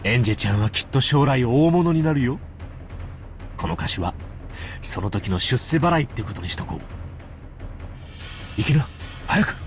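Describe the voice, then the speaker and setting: A man's voice: medium pitch, lively intonation, fast talker, pauses often, soft background music, close to an everyday voice. An actor playing a glib, shrewd businessman in a visual novel, casual and unflappable as he talks. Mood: cool, encouraging. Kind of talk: Japanese visual novel voice acting.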